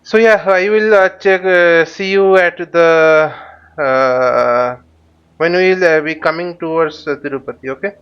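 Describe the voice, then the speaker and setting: A man with a fairly high, very clear voice talks through a flight simulator tutorial as he goes. His delivery is halting, with phrases repeated and reworded. The audio is slightly dull and lo-fi. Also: a bit bright. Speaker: flight simulator instructor and channel host. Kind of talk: live tutorial commentary while flying a simulator.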